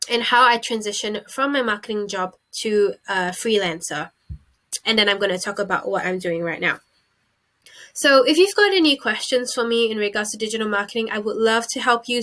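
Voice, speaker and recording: A woman's voice: mid-range voice, a bit bright. Chatty young woman from Singapore. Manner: chatty, gestures with hands. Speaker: vlogger. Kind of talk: casual talk to camera. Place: small room indoors.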